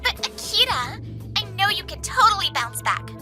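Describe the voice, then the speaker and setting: Lady doing perky eager young office woman, manga dub, super high and bright, music under. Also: very animated, normal speed, exaggerated voice. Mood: encouraging. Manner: perky, emphatic. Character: eager, encouraging young businesswoman.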